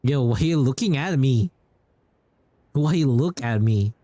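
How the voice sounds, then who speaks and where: A male game streamer talks casually to his chat. His voice is mellow and relaxed, with lively swings in pitch. The sound is close and dry. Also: unhurried, dry recording. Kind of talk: casual livestream chat, reacting to gameplay and viewers.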